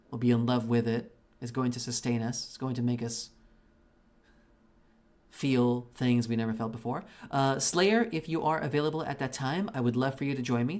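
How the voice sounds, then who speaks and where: A man's voice: medium pitch, a little mellow. A man from Belarus whose first language is Russian, chatting casually and with plenty of swearing while streaming a game. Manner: rambling and profane, with many restarts. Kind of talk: casual live-stream chat while gaming.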